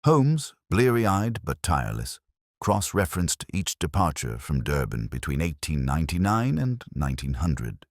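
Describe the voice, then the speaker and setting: Audiobook narrator, male, extremely low register, measured and formal, animated intonation, dry close sound. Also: dry recording. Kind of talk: scripted first-person detective story narration.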